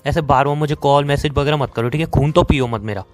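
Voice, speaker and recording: A man's voice: mid-range voice, faint music behind, natural voice. A man with an Indian accent playing a sarcastic, dismissive young boyfriend in a phone-call romance drama. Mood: irritated. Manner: sarcastic, dismissive, rapid-fire. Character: bitter, sarcastic young boyfriend fed up with his girlfriend.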